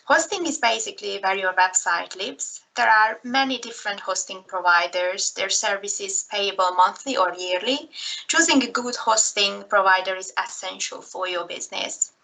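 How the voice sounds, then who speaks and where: A woman's voice: mid-range voice, moderate pace, creaky, bright, forceful tone, rough recording. A woman from Hungary in her thirties with a Hungarian accent, giving a recorded slideshow talk in a careful manner with frequent fillers. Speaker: presenter.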